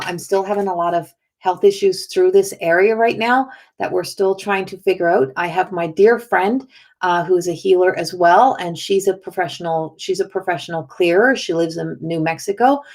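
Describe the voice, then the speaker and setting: A woman's voice: on the low side, normal speed, a little mellow. Woman, spiritual card reader on a live stream; strained, tired voice; loose and rambling delivery. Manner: chatty and rambling, greets viewers by name. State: strained, failing voice. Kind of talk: casual livestream chat.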